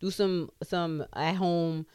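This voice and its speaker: A woman's voice: fairly low pitch, fairly even intonation, slow pace, a little mellow, clean tone, dry recording, clean studio sound. An American woman in her thirties chatting casually as a podcast co-host, emphatic, slangy and given to swearing. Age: 30s. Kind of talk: casual opinionated chat.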